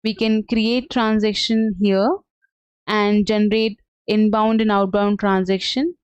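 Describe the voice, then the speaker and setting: A woman giving an unscripted software tutorial as a trainer, explaining step by step in a halting, hesitant way. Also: mid-range voice, flat delivery, smooth, dry recording. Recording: dull, lo-fi, dry close sound.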